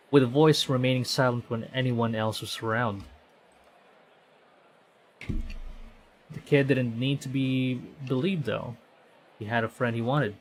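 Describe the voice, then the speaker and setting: A male streamer reads game text aloud in a medium-pitched voice. He is conversational and sometimes trips over a line and restarts, with faint noise behind him. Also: rough recording. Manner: conversational, stumbles and corrects himself while reading. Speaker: VTuber streamer. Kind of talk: reading visual novel text aloud on stream.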